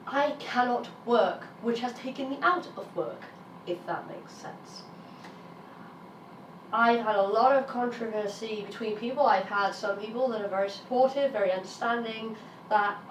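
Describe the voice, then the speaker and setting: A British woman in her thirties, a little raspy from illness, talking frankly and emphatically to her vlog audience. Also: on the high side, slight background noise. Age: 30s. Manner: frank, emphatic, swears when frustrated. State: raspy while getting over an illness. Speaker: vlogger. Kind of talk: candid personal vlog monologue. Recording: roomy sound from a distance, faint ambience.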